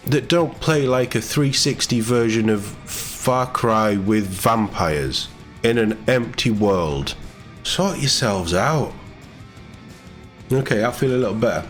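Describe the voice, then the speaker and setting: A man giving blunt, exasperated, off-the-cuff opinions as the host of a gaming news video. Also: on the low side.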